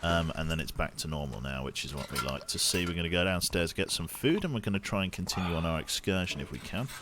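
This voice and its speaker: A man's voice: sits low, fast talker, noisy background. A man streaming a game in casual commentary, chatty and talking through each action aloud, sounding slightly sniffly and congested. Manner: chatty, muttering his actions aloud as he plays.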